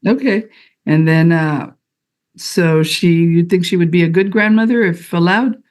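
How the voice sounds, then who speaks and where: A woman's voice: on the low side, a little slow, darkish tone. A woman judge in a courtroom questioning a father directly and probingly about his background.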